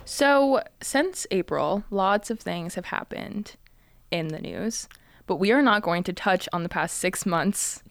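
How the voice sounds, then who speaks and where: A woman in her twenties co-hosting a podcast in casual chat, rambling with lots of fillers, with a slightly raspy voice. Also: mid-range voice, lively intonation, unhurried. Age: 20s. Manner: chatty, rambling with many fillers. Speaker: podcast co-host. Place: small studio.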